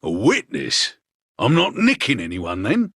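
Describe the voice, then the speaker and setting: A man playing a gruff, baffled police inspector in a game, with a working-class London accent and slangy, incredulous delivery. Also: mid-range voice, huge pitch swings, unhurried, fairly bright tone, no echo, studio quality, heavily acted. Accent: British, working-class London. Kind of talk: scripted game voice acting.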